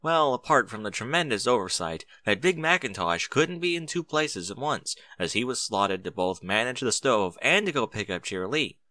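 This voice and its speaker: Bright, animated male audiobook narrator. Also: mid-range voice, normal speed, hardly any pauses, no room sound. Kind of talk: expressive audiobook narration of fan fiction.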